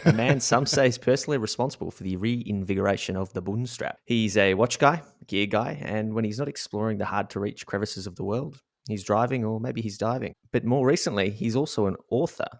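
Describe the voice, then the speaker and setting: Podcast co-host, male: low, gravelly fry, dry studio-close sound, casual delivery. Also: fairly quick, hardly any pauses, no echo. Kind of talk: casual podcast chat and introductions.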